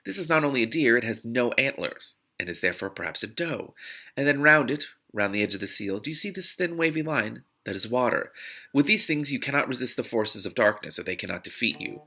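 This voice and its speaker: A man's voice: mid-range voice, expressive, a little fast, bright, forceful tone. A man voicing a kindly, earnest woman mystic in a storybook reading, careful and instructive. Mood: awed, explaining. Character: kindly, earnest woman mystic who crafts magic wards.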